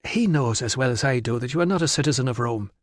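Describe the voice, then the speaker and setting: A man's voice: mid-range voice, expressive, fast, dry recording, studio quality, natural voice. A man voicing a firm, impatient married woman in an audiobook, curt and no-nonsense. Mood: sceptical. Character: firm, impatient married British tribeswoman, sceptical. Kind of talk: audiobook character voice.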